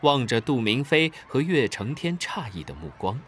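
A man's voice: medium pitch, moderate pace. Guy narrating a kids story, voice swoops up and down a lot, faint street noise. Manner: calm, storytelling.

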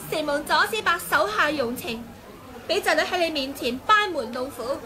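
A woman's voice: high voice, expressive, moderate pace, a crowd in the background. An actress playing a bold, crafty young swordswoman in a Hong Kong Cantonese radio drama, with polite, artful manners. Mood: sly courtesy. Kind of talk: 1980s radio drama acting. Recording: 1980 radio drama, busy sound-effects background.

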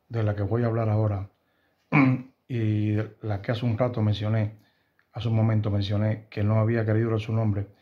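A man in his fifties from Cuba with a Cuban Spanish accent, giving earnest, indignant news commentary to camera from a room at home, often pausing and restarting. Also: on the low side, highs cut off. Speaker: host of a news commentary channel. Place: home room with bookshelves, indoors.

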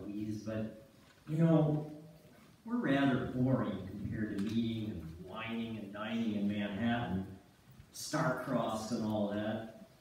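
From far off in a very echoey hall comes a folksy middle-aged husband, played by a man on stage. He is teasing and self-deprecating, speaking slowly with lively pitch. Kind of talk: staged reader's theater acting. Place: church sanctuary stage, far camera. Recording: very echoey church hall from far off, faint noise.

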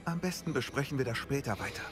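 A man voicing a calm young wizard hero. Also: mid-range voice, fast talker, natural voice. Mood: inquisitive. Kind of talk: German game dub. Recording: game audio with music behind.

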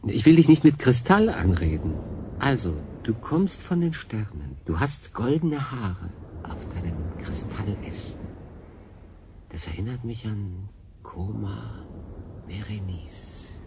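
Audio drama role: lone shipwrecked sailor, confused and halting, slightly deep voice, faint noise behind. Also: male voice, big pitch swings, heavily acted. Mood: wondering, pensive. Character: stranded lone sailor, bewildered, frightened, doubting his sanity. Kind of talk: German radio drama acting.

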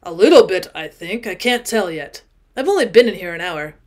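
A woman voicing a stout, drunk middle-aged man who talks loudly and emphatically and keeps repeating himself. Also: on the low side, animated, a little fast, pitched below the performer's own voice, lightly acted. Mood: excited drunk. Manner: loud, emphatic, rambling repetition.

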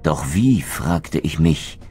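Game narrator: noble vampire wraith, extremely low voice, brooding, solemn and measured, music underneath. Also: male voice, lively intonation, mellow, heavily acted. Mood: solemn, pensive. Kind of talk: scripted dramatic game narration.

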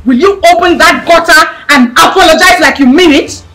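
A woman's voice: very high-pitched, moderate pace, sharp tone, soft background music. A woman with a Nigerian accent playing a haughty, spiteful young princess in a screen drama, scolding and ordering people about with contempt. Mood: furious, contemptuous.

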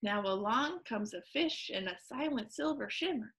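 Bright, high-voiced young woman reading aloud. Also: normal speed. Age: young adult. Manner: expressive, coaxing, sing-song storytelling. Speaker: parent reading to their child. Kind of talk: animated children's picture-book read-aloud. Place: small room at home.

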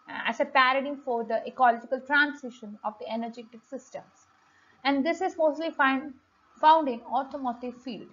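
High-pitched woman lecturer, halting delivery. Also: a bit bright. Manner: halting, with frequent pauses and repeated words. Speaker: lecturer, host of an economics channel. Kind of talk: explanatory lesson read from slides. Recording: dull, lo-fi home recording.

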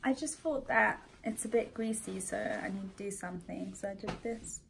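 A woman's voice: mid-range voice, moderate pace, creaky, a little hiss or hum, rough recording. A young woman giving short, dry replies in casual family chat.

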